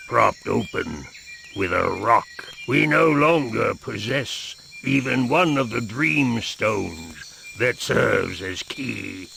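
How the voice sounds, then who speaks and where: An actor playing an eccentric temple gatekeeper in a video game, theatrical, scornful and full of drawn-out warnings. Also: male voice, fairly low pitch, creaky, pushed and bright, a little hiss or hum, full character voice. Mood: foreboding. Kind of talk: video game cutscene acting.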